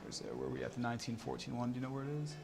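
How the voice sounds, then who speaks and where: A man's voice: medium pitch, level tone, racing, gravelly, loud music behind the voice. A man giving an informal guided tour, explaining what is on display in an explanatory, conversational way. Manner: explanatory, inviting listeners to imagine.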